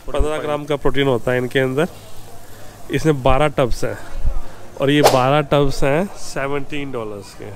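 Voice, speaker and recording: A man in his thirties from Haryana with a Haryanvi accent, explaining things casually in a shopping vlog and mixing in English terms. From Haryana, India. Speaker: vlogger. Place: car, car park and warehouse store. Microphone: phone or camera at arm's length. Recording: noisy handheld recording with ambient rumble.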